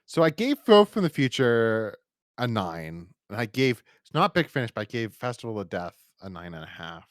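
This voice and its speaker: A man co-hosts a casual review podcast with open enthusiasm. His pitch moves around a lot as he rambles from thought to thought. The sound is dry, with very little room to it. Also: very animated, moderate pace, dry recording. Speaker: podcast co-host.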